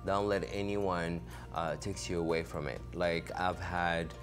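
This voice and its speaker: A young man from Morocco speaks in a very low voice as a fashion designer guest on a studio couch. He answers in a relaxed, casual way, leaning on "like" fillers, into a desk mic while music plays clearly underneath. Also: unhurried. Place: studio set, seated on a couch. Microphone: desk microphone on a stand.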